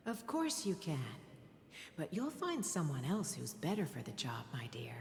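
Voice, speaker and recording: Gentle low-voiced mother, echoing. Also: wildly swooping pitch, normal speed, gravelly, full character voice. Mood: gentle, storytelling. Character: gentle, reassuring mother and priestess. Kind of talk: video game voice acting. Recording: echoey long reverb under game music.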